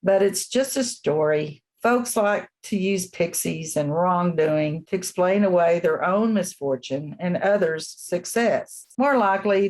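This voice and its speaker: A woman's voice: fairly low pitch, darkish tone. A woman playing an elderly small-town local in a radio play, telling old lore in a folksy, matter-of-fact way. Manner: folksy, unhurried storytelling.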